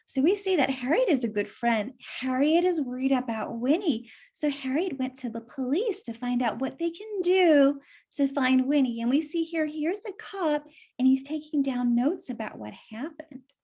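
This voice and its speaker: A woman's voice: high-pitched, animated, moderate pace, mellow. A woman reading a story aloud for children, explaining it simply and putting questions to young listeners.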